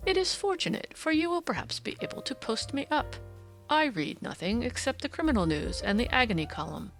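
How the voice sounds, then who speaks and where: A woman voicing a keen, assured Victorian gentleman detective in an audiobook, formal and precise in speech. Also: on the high side, sing-song, fairly quick, faint music behind, pitched above the performer's own voice. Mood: brisk, keen. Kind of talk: audiobook character dialogue.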